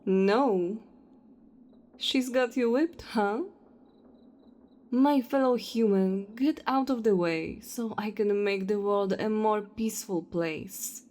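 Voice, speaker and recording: Woman acting slow, mellow, contemptuous vampire hunter. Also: medium pitch, natural voice. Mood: scornful, impatient. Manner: taunting, contemptuous, unhurried. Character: cold, self-righteous female vampire hunter. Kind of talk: audio roleplay acting.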